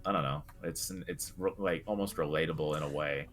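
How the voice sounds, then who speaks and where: A man's voice: very deep voice, brisk, a bit bright. A young adult man co-hosting a podcast, talking casually about video games in an earnest, reflective way. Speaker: podcast co-host. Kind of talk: casual video game discussion.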